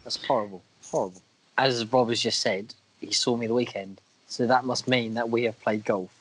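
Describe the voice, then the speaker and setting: A man with a croaky voice from a cold, co-hosting a podcast in casual, self-deprecating chat. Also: medium pitch, steady tone, moderate pace, fairly bright tone, rough recording. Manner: chatty, self-deprecating, a bit crude. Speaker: podcast co-host.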